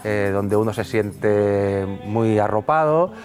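Male band member, low pitch, stumbling and repeating himself, faint music under. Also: slow pace, a little mellow. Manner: hesitant, many fillers and repeated words. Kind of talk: interview answers.

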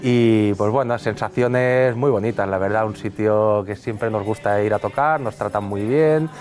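Male band member, low pitch, stumbling and repeating himself, faint music under. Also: normal speed, a little mellow. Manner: hesitant, many fillers and repeated words. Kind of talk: interview answers.

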